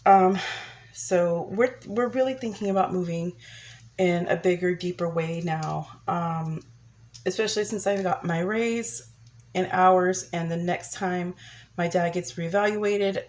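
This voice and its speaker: A woman's voice: on the low side, measured pace, darkish tone. A young woman vlogger giving a chatty personal update, a bit congested from a cold, with many ums and restarts.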